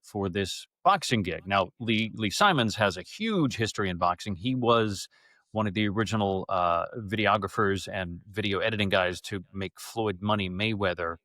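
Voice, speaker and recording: A middle-aged man telling stories from his life as an interview guest, casual, animated and rambling. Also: fairly low pitch, normal speed, no room sound, studio quality. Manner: animated, rambling, acts out quoted speech. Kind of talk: casual conversational storytelling.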